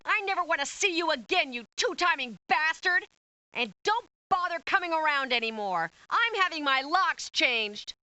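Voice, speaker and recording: Game role: scolding woman, cutting and brisk, bright animated voice on the higher side. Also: dry recording. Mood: furious. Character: sharp-tongued, scolding woman. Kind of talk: video game character acting.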